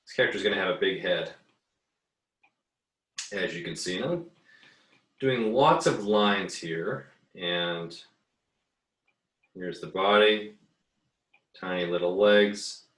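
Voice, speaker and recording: A man's voice: low voice, slow, pauses often, a bit bright. A man teaching a casual drawing tutorial as an art teacher, easygoing and hesitant, thinking aloud as he works. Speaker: art teacher giving an assignment demo. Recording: roomy, echoey, distant mic.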